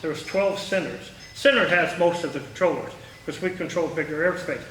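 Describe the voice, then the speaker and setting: Bright-voiced Midwestern man, distant in a room. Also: on the high side. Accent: American Midwestern. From small-town Indiana, United States. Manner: rambling, halting, jumps between thoughts. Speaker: retired air traffic controller giving a talk. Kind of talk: informal reminiscing talk to a local audience. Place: echoey meeting room at a historical society. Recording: roomy, distant mic with faint noise.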